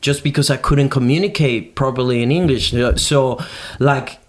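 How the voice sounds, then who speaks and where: A man's voice: mid-range voice, normal speed. Male podcast host, young, Venezuelan Spanish accent, mid-range voice, loose conversational style. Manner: informal, animated slangy talk with swearing. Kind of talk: casual chat.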